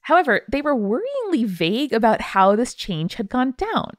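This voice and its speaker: A woman's voice: a little high, big pitch swings, moderate pace, no echo. Irreverent woman podcast host, dry close sound. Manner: irreverent, wry asides. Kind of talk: reading out listener email with casual commentary.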